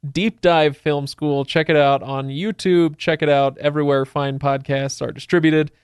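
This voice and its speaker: A man's voice: a little high, steady tone, normal speed, clear, resonant tone, no room sound, studio quality. A man hosting a podcast in a casual, joking, conversational style. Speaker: podcast host.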